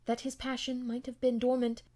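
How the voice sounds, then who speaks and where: High-voiced woman narrating a novel. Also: completely flat delivery, normal speed, dark tone. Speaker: amateur audiobook narrator. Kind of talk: scripted literary narration.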